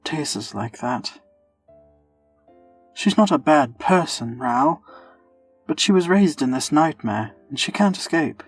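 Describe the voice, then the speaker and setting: An actor playing a reserved, clever young man in an audio drama, gently earnest, quiet and measured. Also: on the high side, lively intonation, slightly rough, soft background music, exaggerated voice. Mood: gentle, earnest.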